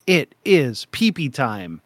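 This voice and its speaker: A man's voice: medium pitch, sing-song, slow pace, slightly rough, no echo, studio quality. A young man co-hosting a podcast in casual chat, jokey and rambling, laughing often. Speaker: podcast co-host.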